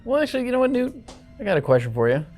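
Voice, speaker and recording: A woman's voice: on the high side, big pitch swings, very fast, darkish tone, soft background music. A woman speaking casually in character as a player in a tabletop roleplaying game.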